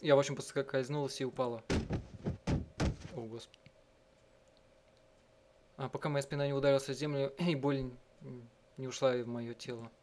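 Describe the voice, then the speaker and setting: A man doing let's-play narration, reading and translating text aloud in a halting, self-correcting way. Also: medium pitch, very noisy. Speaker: game streamer and live translator. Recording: game music under the voice. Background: game music.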